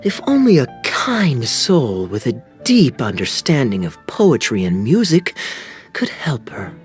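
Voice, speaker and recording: Wily young captain for a game: suave, teasing, animated pitch, faint music behind. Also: male voice, measured pace. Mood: feigned concern. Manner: smooth, teasing, theatrical. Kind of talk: video game voice acting.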